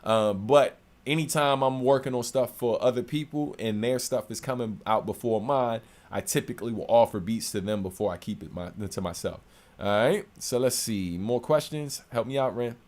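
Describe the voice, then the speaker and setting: A man with a deep, low-register voice talks loosely and conversationally, answering questions in a home studio. His pitch sits in an ordinary middle range, and he speaks in a relaxed, unhurried way. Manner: relaxed, conversational, many fillers. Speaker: rapper and producer hosting a livestream. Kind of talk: casual livestream Q&A.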